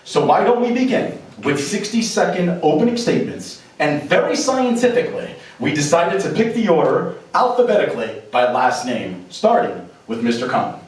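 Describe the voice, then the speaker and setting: A man's voice: a little high, big pitch swings, normal speed, hardly any pauses. A man hosting and moderating a local political debate in a theater, with formal announcer delivery. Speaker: debate moderator and show host. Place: theater stage before a live audience. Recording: echoey theater from far off.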